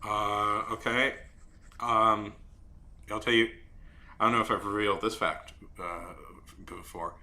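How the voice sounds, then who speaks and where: A young man chatting casually on a live stream while drawing, rambling and halting with many fillers and restarts. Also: fairly low pitch, fairly even intonation, pushed and bright. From Saudi Arabia.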